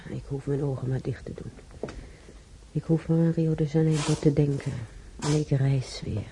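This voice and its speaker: A woman's voice: low voice, fairly even intonation, normal speed, noisy background. A woman playing a wistful, chatty elderly bedridden patient in a radio play, musing and correcting herself kindly. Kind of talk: Dutch radio play acting.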